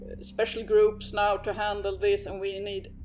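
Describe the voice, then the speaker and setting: A man's voice: sits high, monotone, dull audio, slight background noise, close to an everyday voice. Man playing a stammering, harried cop. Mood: urgent. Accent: Swedish. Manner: stammering, hurried. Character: harried male police officer on the phone.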